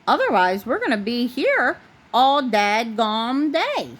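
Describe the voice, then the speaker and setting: A friendly country tow truck from a bedtime storybook, read by a woman. He is easygoing and folksy in his phrasing, and the voice sits fairly high. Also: sing-song, slow pace, full character voice.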